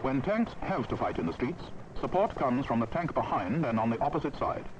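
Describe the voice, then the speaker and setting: British man narrating, lively rise and fall. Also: mid-range voice, brisk. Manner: formal, authoritative, precisely enunciated. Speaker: training film narrator. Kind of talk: scripted military instructional narration. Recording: dull old film soundtrack, background noise.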